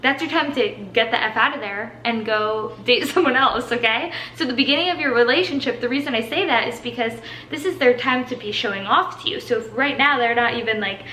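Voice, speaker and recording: A woman's voice: fairly high pitch, a little fast, hardly any pauses, pushed and bright, roomy sound, slight background noise, rough recording. A woman in her twenties, a fitness coach whose first language is Portuguese, giving tips in a chatty, upbeat Q&A with lots of "like"s. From Brazil. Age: 20s. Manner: chatty, filler-heavy with many "like"s, upbeat. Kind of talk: casual Q&A monologue.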